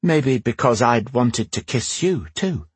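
A man's voice: medium pitch, expressive, slow pace, husky, no room sound, exaggerated voice. A man voicing a stubborn, playful young warrior queen in an audiobook fantasy story, proud and tender. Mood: playful, teasing. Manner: playful, teasing, regal when commanding.

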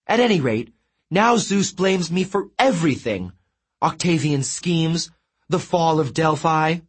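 A man's voice: a little high, animated, unhurried, breathy edge, pitched above the performer's own voice. A man voicing a vain, melodramatic male sun god who wails and pities himself. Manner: wailing, theatrical and self-pitying.

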